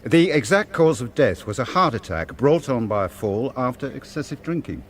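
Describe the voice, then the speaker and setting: Stern male GP for radio drama, acted by a man: medium-pitched, very animated, educated wording, exasperated, faint background noise. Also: normal speed, close to an everyday voice. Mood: defensive. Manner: hesitant fillers between firm orders, formal wording. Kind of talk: naturalistic radio drama acting.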